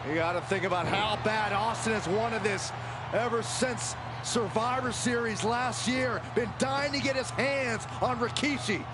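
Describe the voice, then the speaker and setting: A man's voice: high-pitched, a little slow. A man voicing a fired-up, partisan wrestling announcer in a video game, calling the action with dramatic emphasis. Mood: excited. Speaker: wrestling commentator. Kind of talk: excited sports commentary in a wrestling video game. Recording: loud crowd roar and game noise behind.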